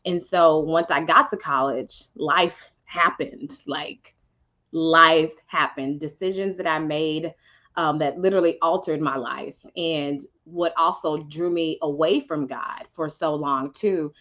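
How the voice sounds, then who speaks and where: A woman's voice: low-pitched, steady tone, takes it slowly, a bit bright. Reflective woman on a dull lo-fi line. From Houston, Texas. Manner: reflective, many ums and restarts. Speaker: podcast guest. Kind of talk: personal testimony in conversation.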